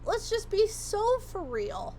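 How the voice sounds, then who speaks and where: Hoarse slow-talking woman streamer. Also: extremely high pitch, very animated, a little mellow, slight background noise. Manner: rambling, chatty, swears freely. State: hoarse, losing her voice. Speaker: livestreamer. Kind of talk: casual chat.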